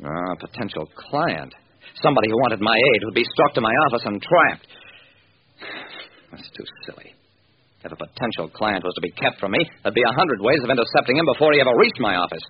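An actor playing a hard-boiled, wry private detective in an old-time radio drama, with sardonic, self-mocking, clipped tough talk. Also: male voice, on the low side, sing-song, fairly quick. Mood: wry musing. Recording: muffled, hissy vintage radio recording.

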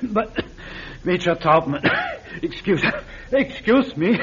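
A nervous, coughing spy recruit. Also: male voice, high-pitched, expressive, takes it slowly, exaggerated voice. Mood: flustered. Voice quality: coughing, weak-lunged. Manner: hesitant, deferential. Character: nervous frail civilian trained as a spy. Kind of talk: 1940s radio acting. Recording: lo-fi vintage radio recording.

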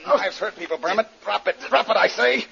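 An actor playing a cocky, tough captain in an old-time radio drama, giving orders and taunts. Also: male voice, high-pitched, brisk, vocal fry, bright, forceful tone, exaggerated voice. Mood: taunting, commanding. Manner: commanding, taunting. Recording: dull, noisy vintage radio recording.

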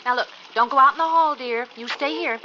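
A woman's voice: sits high, fairly quick, sharp tone. An actress playing an affectionate, easily flustered young American mother in an old-time radio drama. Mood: fond, bustling. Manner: motherly coaxing and chiding. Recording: dull, noisy old radio recording.

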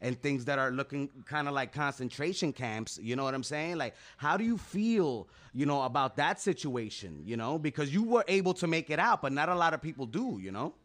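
A man's voice: fairly high pitch, expressive, a little fast, few pauses, a bit bright. A man interviewing a guest, rambling through long questions full of 'you know' and restarts.

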